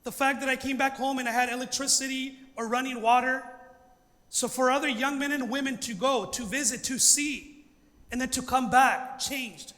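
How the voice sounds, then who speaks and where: High-voiced male pastor in an echoey hall. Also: steady tone, moderate pace, sharp tone. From Los Angeles, United States. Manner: earnest, emphatic, with rhetorical questions. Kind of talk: informal public presentation. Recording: echoey hall with long reverb.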